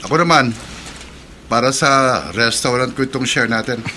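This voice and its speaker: A practical Filipino fisherman played by a male actor in a radio horror drama. His voice is forceful and bright, mid-pitched, with a reassuring manner. Some noise sits on the track. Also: normal speed, close to an everyday voice. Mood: matter-of-fact. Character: Tom, practical, reassuring fisherman and restaurant owner.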